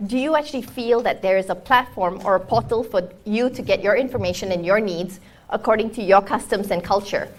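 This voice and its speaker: A Singaporean woman in her thirties gives a lunchtime conference talk. She speaks in a medium-pitched voice and tells her story casually. She acts out little exchanges of dialogue as she goes. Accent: Singaporean English. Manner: chatty storytelling with quoted dialogue and restarts. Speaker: conference speaker, startup founder.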